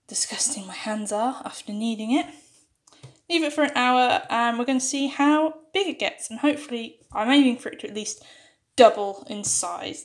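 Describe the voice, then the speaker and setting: A young woman chatting casually through a home baking demonstration, upbeat and playful, with plenty of filler ums and little history asides. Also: fairly high pitch. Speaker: home cooking vlogger. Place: small home kitchen, indoors. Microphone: camera mic on the counter, speaker moving around. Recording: echoey kitchen room sound.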